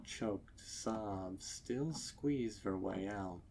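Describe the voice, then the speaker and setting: A man reading a dark story as scripted dramatic narration, measured and with deliberate pauses. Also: on the low side, creaky, slight background noise. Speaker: fan fiction narrator.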